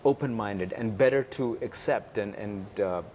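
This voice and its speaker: A man from Pakistan giving interview answers as a producer, in a halting way with pauses and repetitions. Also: fairly low pitch, a little mellow. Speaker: interview guest, cartoon producer. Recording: faint background room noise.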